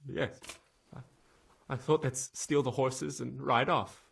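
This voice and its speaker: A man playing a frightened young stable lad in a game cutscene, stammering and halting, with a husky voice. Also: medium pitch, fairly even intonation, fairly bright tone, full character voice. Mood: shaken, haunted. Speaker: video game character, witness being questioned. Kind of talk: dramatic game cutscene acting.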